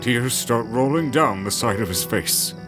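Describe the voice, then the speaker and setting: A man's voice: mid-range voice, animated, a little slow, music in the background. A man reading scripted fantasy story narration as the narrator of an audio drama.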